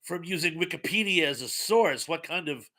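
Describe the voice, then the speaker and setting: A man's voice: a little high, lively intonation, normal speed, bright, forceful tone. A man hosting a casual livestream, reading viewer comments aloud and rambling with wry asides, his voice getting worn out. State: losing his voice. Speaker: livestream host reading chat.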